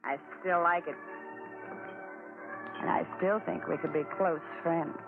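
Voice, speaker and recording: A woman's voice: fairly low pitch, steady tone, unhurried, over music. An actress playing a seductive, jittery scheming woman in a 1940s radio drama, with a coaxing, hesitant manner. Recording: tinny, noisy 1940s radio recording.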